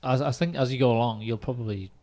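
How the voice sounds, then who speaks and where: A man's voice: mid-range voice, fast, a little mellow, slight background noise. A man hosting a podcast, chatting casually about things in an informal, conversational way. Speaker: podcast host. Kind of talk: casual chat.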